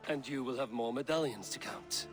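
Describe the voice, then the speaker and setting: A man's voice: mid-range voice, normal speed, music in the background, natural voice. A man playing a proud, blunt and wary Viking warrior in a video game, with a terse, wry delivery. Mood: sober, measured. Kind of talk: video game cutscene acting.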